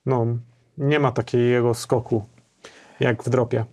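A man's voice: medium pitch, level tone, unhurried, over music. A man in his thirties co-hosting a gear show, chatting casually in a small studio. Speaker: co-host of a guitar gear review show. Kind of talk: casual chat. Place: small indoor home studio.

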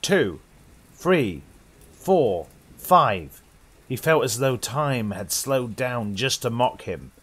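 A young British man narrates a horror tale like an old radio host. His delivery is dramatic and animated, with deliberate pauses, over faint rain-like ambience. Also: mid-range voice, slight background noise.

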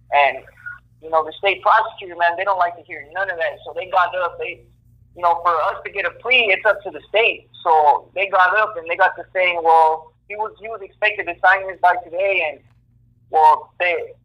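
High-voiced young man on a lo-fi phone line. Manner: street slang, repeats phrases, says "you know" often. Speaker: incarcerated storyteller. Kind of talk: casual first-person storytelling. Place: jail, calling in by phone.

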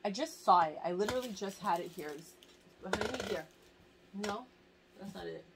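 A woman's voice: medium pitch, expressive, moderate pace, noisy background. A woman in her forties chatting to camera from a cluttered home room, rambling and gushing about giveaway items while in pain. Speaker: fashion vlogger hosting a giveaway. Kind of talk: casual chat to camera. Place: small home room crowded with bags and boxes, indoors. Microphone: camera at desk distance.

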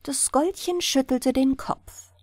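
A woman's voice: high-pitched, slow pace, no echo, clean studio sound. A woman reading a bedtime fairy tale expressively as an audiobook narrator. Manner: expressive storytelling.

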